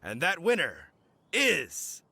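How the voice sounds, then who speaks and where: An actor playing an earnest, theatrical food contest judge in a video game. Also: male voice, on the high side, huge pitch swings, gravelly, sharp tone, no room sound, heavily acted. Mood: grand, solemn. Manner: theatrical, deliberate. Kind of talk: English video game voice acting.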